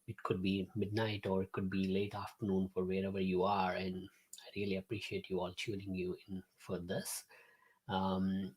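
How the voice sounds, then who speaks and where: A man's voice: fairly low pitch, husky. A man, hosting a live-streamed class from his home office, chatting to viewers with many fillers and restarts. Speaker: host and instructor.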